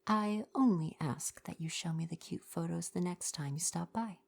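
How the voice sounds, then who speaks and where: A low, creaky, soft-spoken young merfolk shopkeeper, acted by a woman in an ASMR roleplay. The manner is kind and courteous, chatty with customers, with a gently sardonic streak. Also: moderate pace. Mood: warm, encouraging. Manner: polite, chatty, helpful customer-service phrasing.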